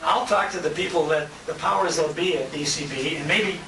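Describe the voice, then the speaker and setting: A man's voice: a little high, a little fast, gravelly, far from the mic, a crowd in the background. An American man speaking informally as emcee of a tribute dinner, telling anecdotes with quoted conversations. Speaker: emcee and radio show host. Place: restaurant banquet room. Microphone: handheld compact camera at a distance. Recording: echoey, noisy room recorded on handheld camera.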